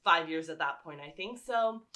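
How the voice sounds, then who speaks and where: A woman's voice: sits low, animated, moderate pace, bright, forceful tone. A woman talking casually to her viewers as a vlogger, telling stories in an enthusiastic, rambling way. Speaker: vlogger and fan fiction writer. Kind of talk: casual chatty talk to viewers.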